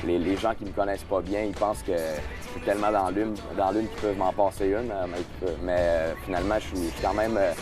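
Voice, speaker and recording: A man's voice: low-pitched, fairly even intonation, fairly quick, hardly any pauses, music in the background. A young man with a Quebec French accent, a singer giving an interview outdoors at a festival, hesitant and full of fillers and restarts. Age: young adult. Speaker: singer guest. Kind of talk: casual interview.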